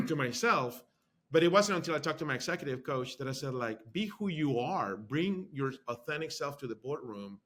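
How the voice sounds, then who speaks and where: A man's voice: mid-range voice, fairly bright tone. A middle-aged man from Honduras with a Spanish accent, a guest answering questions in a podcast interview in an earnest, emphatic way that repeats key phrases. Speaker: guest, marketing executive.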